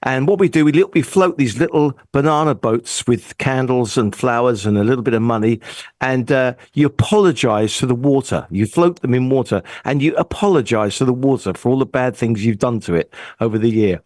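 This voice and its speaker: A man's voice: mid-range voice, fairly quick, darkish tone, dry recording, clean studio sound. A man chatting casually as a show panellist, explaining things in a jokey way. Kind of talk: casual chat.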